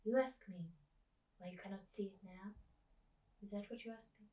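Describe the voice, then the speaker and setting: A woman's voice: mid-range voice, fairly even intonation, moderate pace, dark tone, natural voice. An eccentric old man, played by a woman reading aloud, boasts in grand rhetorical phrases. It is a storybook character, heard slightly off mic in a roomy space. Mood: boastful, secretive. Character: crazy old man, boastful mouse circus trainer. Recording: echoey room, distant mic.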